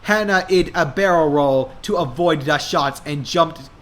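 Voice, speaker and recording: A man reading a story aloud in a mock-dramatic style, deliberately and with a straight face. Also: on the high side, a bit bright. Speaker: dramatic reader of fan fiction.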